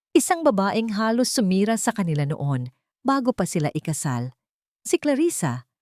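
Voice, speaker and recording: A woman with a Filipino accent narrating a scripted love story in a dramatic, deliberate way with emphatic pauses. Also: fairly low pitch, a little mellow, no echo, studio quality.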